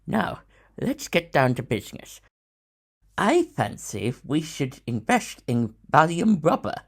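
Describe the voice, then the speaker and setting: An actor voices an eccentric, reclusive male tycoon for an audio story. He is fussy and musing, with a husky edge. His delivery is slow and swings widely in pitch. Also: big pitch swings, full character voice. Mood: musing, fond. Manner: musing, talking fondly to a pet cat. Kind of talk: audio drama character acting.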